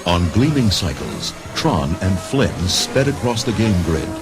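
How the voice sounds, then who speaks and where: A deep-voiced man narrates a recorded adventure tale. His dramatic scripted delivery is very lively in intonation, carried over prominent background music. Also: a little slow. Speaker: storybook narrator.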